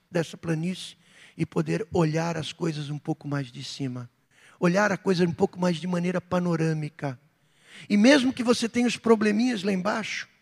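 A middle-aged Brazilian man preaching a sermon as pastor, with fervent outbursts and softer reflective stretches. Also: high voice, vocal fry, slightly rough. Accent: Brazilian Portuguese. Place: church hall. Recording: long hall reverb.

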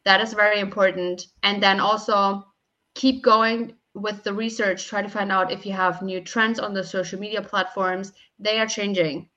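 A young woman speaks in a very bright, forward voice at a middle pitch. She gives practical advice conversationally, with some hesitant phrasing. The recording is a little dull and lo-fi. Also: fairly even intonation, moderate pace. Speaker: podcast guest.

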